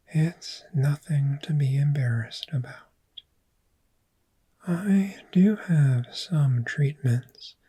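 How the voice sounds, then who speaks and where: Casting: woman to play a calm dragon therapist for ASMR roleplay; mellow, whispery, extremely slow and measured delivery, reassuring. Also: rumbling low, heavily acted. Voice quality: breathy, whispery. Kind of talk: soft-spoken ASMR roleplay.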